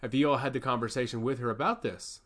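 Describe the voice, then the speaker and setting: A man's voice: medium pitch, steady tone, fast talker, a bit bright, lightly acted. A man voicing a curious, composed woman who questions a god in a fantasy story. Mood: reasoning. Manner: questioning, reasonable. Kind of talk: fantasy storybook character dialogue.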